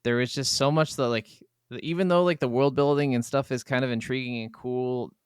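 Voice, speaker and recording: A man with a middle-pitched, clean and smooth voice co-hosts a casual gaming podcast. He speaks in an informal, agreeable way, recorded dry and without room echo. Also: fairly even intonation, brisk. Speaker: podcast co-host. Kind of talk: casual chat reviewing a video game.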